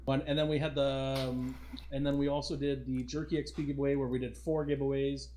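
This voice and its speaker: A man's voice: medium pitch, flat delivery, a little fast, warm tone. A man hosting a casual livestream podcast, talking informally and addressing his viewers as guys. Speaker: podcast host.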